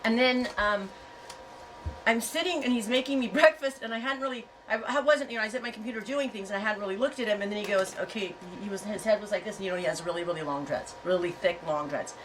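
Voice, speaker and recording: A woman's voice: mid-range voice, fast talker. A chatty woman telling a rambling story to her viewers, laughing often as she talks. Her nose is stuffed up from a head cold. She sounds a little distant from the mic, with a faint hiss of noise behind her. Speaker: yarn and fiber farm vlogger. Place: home on a farm.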